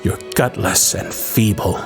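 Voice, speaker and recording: A man's voice: unhurried, over music, close to an everyday voice. A man playing a bitter, scornful younger prince in a fantasy audio drama, brooding and theatrical, full of contempt.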